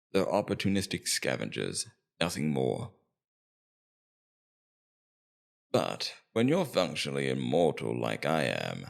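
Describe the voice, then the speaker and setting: A man playing a scheming, immortal Demon King in an ASMR roleplay, taunting and persuasive, with theatrical, deliberate emphasis. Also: extremely low pitch, vocal fry, heavily acted. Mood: cold, persuasive. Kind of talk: ASMR roleplay, scripted villain monologue.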